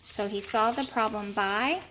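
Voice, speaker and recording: A woman teacher reading a picture book to young children, deliberate and explanatory, pausing to ask questions. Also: mid-range voice, sharp tone, slight background noise. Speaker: elementary school teacher. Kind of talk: read-aloud lesson for young children.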